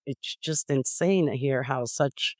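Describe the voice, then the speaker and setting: A man's voice: mid-range voice, takes it slowly, dry recording, clean studio sound. A man hosting a podcast, asking questions in a casual, conversational way. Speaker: podcast host.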